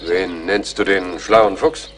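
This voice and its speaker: An actor playing a courteous, earnest English army major in a radio play, speaking in a formal manner. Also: male voice, low-pitched, fairly even intonation, takes it slowly, slight background noise. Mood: persuading. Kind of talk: German radio play acting.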